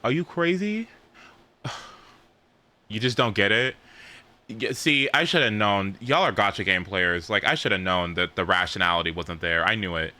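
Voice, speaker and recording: A man's voice: on the low side, normal speed, long pauses, sharp tone. A man livestreaming reactions to a story game, talking in a theatrical, repetitive, joking way. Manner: theatrical mock outrage, heavy swearing, repetitive. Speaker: game streamer. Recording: faint game music behind.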